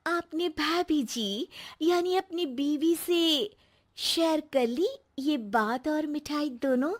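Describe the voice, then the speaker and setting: A woman's voice: high-pitched, slow. A woman voicing a coy, polite, teasing young married neighbour in a cartoon comedy. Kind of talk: Hindi cartoon comedy acting.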